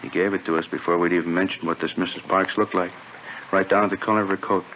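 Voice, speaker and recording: Flat, low-voiced police detective. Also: male voice, quick pace. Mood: casual. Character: laconic, casual working police detective reporting a lead. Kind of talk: 1950s radio drama acting. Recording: dull, noisy old radio recording.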